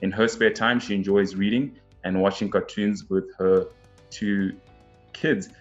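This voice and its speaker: A lower-voiced man hosts an interview with eager enthusiasm, restarting phrases and leaning on "uh" fillers. His audio is dull and lo-fi. A soft guitar track runs beneath. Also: flat delivery, measured pace. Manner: enthusiastic, repeats words, many "uh" fillers. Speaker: interview host. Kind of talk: interview hosting.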